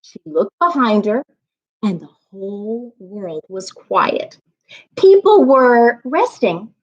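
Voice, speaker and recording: A woman with a fairly high, very lively voice hosts a children's storytime. She takes her time, phrasing each line deliberately and with clear emphasis, like a reading coach guiding young listeners. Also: muffled recording. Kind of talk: expressive children's read-aloud and teaching.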